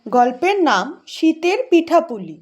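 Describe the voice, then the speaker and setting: High-voiced woman announcer. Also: sing-song, a little slow, darkish tone. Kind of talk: scripted announcement reading.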